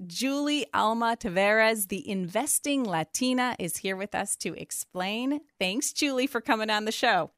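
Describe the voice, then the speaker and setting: A woman hosting a talk show who introduces guests in an upbeat, brisk way. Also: mid-range voice, expressive, a bit bright, dry recording, studio quality. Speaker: host.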